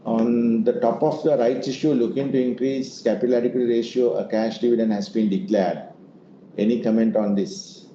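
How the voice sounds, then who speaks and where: A man's voice: mid-range voice, steady tone, moderate pace, mellow. A man answering investor questions as an executive leading an earnings call, in a semi-formal way. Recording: muffled, echoey room with noise.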